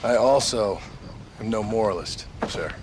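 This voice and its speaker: An actor playing a laconic, hard-bitten American gunman of the frontier in a video game, terse and deadpan, with an American accent. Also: fairly low pitch, measured pace, noisy background. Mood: dry, guarded. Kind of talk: video game cutscene acting.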